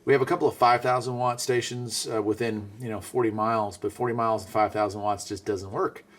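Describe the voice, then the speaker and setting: A man's voice: lowish voice, fairly even intonation, fairly quick. A man giving a casual product review in a folksy, easygoing way.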